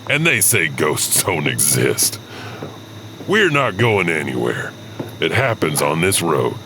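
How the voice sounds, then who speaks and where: A deep, expressive local storyteller played by a man in an audio horror anthology. He sounds knowing, wry and folksy. A clear vehicle-like hum runs behind the voice. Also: slow, full character voice. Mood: wry, ominous. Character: knowing local man recounting a road legend.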